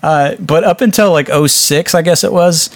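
A man hosting a casual podcast discussion of films, talking with animated exasperation and swearing freely. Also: fairly high pitch, normal speed, no echo, clean studio sound. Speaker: podcast host.

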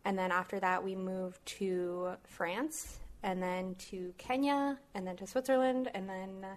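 A woman's voice: mid-range voice, steady tone, unhurried. A young adult woman from Malaysia and the US, a guest chatting casually on a podcast with fillers and light laughs. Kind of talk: casual chat.

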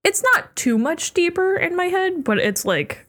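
Giggly young woman with a lively voice. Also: very high-pitched, measured pace. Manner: giggly, laughs often between phrases. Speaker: podcast guest. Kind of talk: casual chat. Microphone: headphones and podcast mic.

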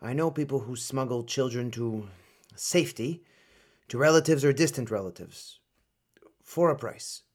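A man acting in a radio play as a stern but protective older colonel and uncle figure, grave and deliberate. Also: mid-range voice, natural voice. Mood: resigned, businesslike.

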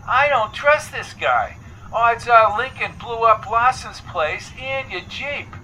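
A man's voice: very high-pitched, lively intonation, takes it slowly, pushed and bright, slight background noise, voice pushed up, full character voice, rough recording. A man voicing a blunt, protective, tough-talking confidant in an audiobook, with a brusque, bossy, colloquial delivery. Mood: worried, bossy.

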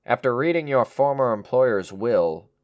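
A man's voice: mid-range voice, lively intonation, measured pace, no echo, lo-fi sound, lightly acted. An actor playing an insistent police inspector in a radio play, formal and pointed in his questioning. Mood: probing. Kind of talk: melodramatic radio play acting.

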